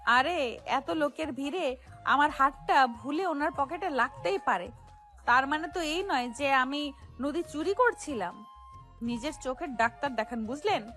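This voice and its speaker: A very high young female voice acted by a woman plays a cocky pickpocket boss. She is sassy and defiant, arguing in street slang. It is audio story drama with soft music underneath. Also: lively intonation, a little slow, heavily acted. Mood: indignant, defensive. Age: young adult.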